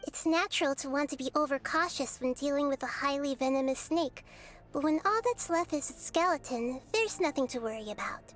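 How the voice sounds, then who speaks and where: High-voiced, girlish, wise little deity. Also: fairly quick. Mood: reassuring. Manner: calm, explanatory, thoughtful. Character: small, girlish, wise deity, gentle and reassuring. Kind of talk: anime game voice acting.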